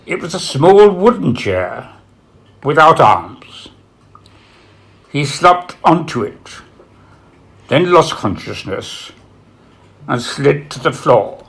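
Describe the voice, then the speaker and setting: A man reading literary biography aloud as its author, in a solemn, measured way with dramatic pauses. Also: fairly high pitch, gravelly, a bit bright. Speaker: author reading from own book.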